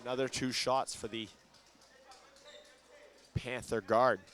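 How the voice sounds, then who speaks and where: A man calling a school basketball game live, brisk play-by-play that gets loud on big shots, with a ball bouncing in the gym behind him. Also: mid-range voice, steady tone. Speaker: play-by-play commentator.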